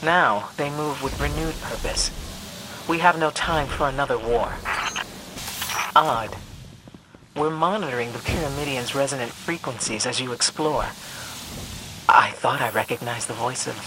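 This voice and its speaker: A woman playing a wise, composed warrior-scholar in a video game, measured and grave with dry wit. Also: extremely low pitch. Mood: pensive, watchful. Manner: measured, grave, dryly witty. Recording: gameplay noise underneath.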